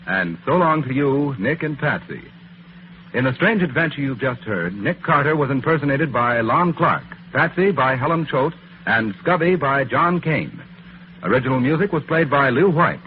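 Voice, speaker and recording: A man's voice: mid-range voice, expressive, normal speed. Tinny vintage male radio announcer. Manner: formal, theatrical. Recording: tinny, faintly noisy 1940s broadcast.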